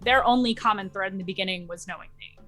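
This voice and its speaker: A woman's voice: medium pitch, quick pace, over music. A woman speaking as an interview guest and game master, explaining things in casual chat.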